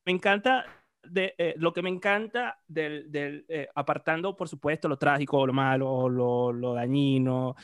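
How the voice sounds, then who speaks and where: A man's voice: fairly high pitch, measured pace, fairly bright tone, clean tone, no room sound. A man, a guest on an online talk show, telling stories in a chatty, self-mocking way and often trailing off and restarting. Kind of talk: casual chat on an online show. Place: lives in Spain.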